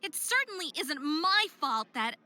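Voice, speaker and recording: Bright, high-voiced, hot-headed young faerie. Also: female voice, sing-song, unhurried, heavily acted. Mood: indignant. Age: young adult. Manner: indignant, emphatic, dramatic delivery. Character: hot-headed, distraught young faerie woman, a competitive skater. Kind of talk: scripted visual novel game acting.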